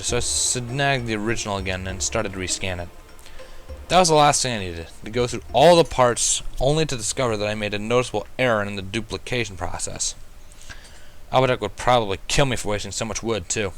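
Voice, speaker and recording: A man's voice: lowish voice, a bit bright, clear, resonant tone. A man narrating a first-person fan fiction story as a reader.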